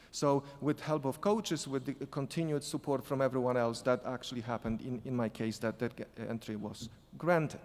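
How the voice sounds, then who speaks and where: A man with a non-native accent speaks at a conference panel, his mid-pitched voice carrying a long hall reverb. He talks in a halting way, with frequent restarts and fillers. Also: a little mellow. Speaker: sport psychology consultant on a panel. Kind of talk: storytelling conference panel talk.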